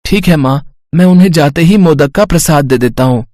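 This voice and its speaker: Eager, respectful schoolboy for a moral tale, acted by a woman, dark-toned and dry. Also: sits low, normal speed, no echo, natural voice. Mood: polite. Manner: earnest, respectful. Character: eager, polite poor schoolboy devoted to his studies.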